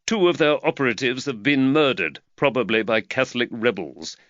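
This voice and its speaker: A man voicing an earnest middle-aged London magistrate who lectures with energy, higher and louder than his own voice. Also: normal speed, no echo, muffled recording, close to an everyday voice. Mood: animated. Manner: lively, preachy, warms to his theme.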